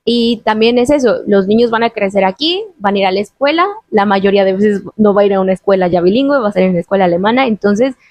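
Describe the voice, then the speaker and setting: A woman's voice: mid-range voice, fast, few pauses, a little mellow, no room sound. A Mexican woman hosting an interview podcast, talking earnestly and giving advice in casual chat. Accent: Mexican Spanish. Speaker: host.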